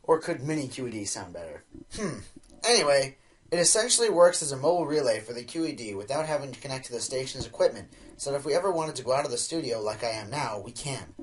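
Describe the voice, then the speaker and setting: An actor playing an excitable, self-important pony inventor who fancies being a spy, in a radio play with a theatrical delivery. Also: mid-range voice, fast talker, natural voice. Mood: boastful, evasive. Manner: theatrical, hesitant fillers amid boasting. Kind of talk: amateur radio play acting.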